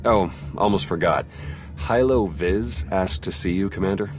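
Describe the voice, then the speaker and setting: An actor playing a weary, businesslike spy officer in a video game, terse and matter-of-fact. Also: male voice, deep voice, a little slow. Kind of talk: video game cutscene acting. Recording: prominent music behind the dialogue.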